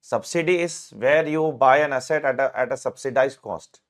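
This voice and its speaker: A man's voice: medium pitch, moderate pace. A man from Mumbai with an Indian English accent, a tax lecturer chatting casually and explaining terms step by step with friendly asides.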